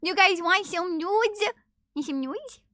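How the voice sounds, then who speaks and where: A woman's voice: very high-pitched, expressive, slow, bright, forceful tone, no echo, studio quality. A young woman streaming, chatting casually with viewers, teasing and swearing freely. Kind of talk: casual live-stream chat reading viewer comments.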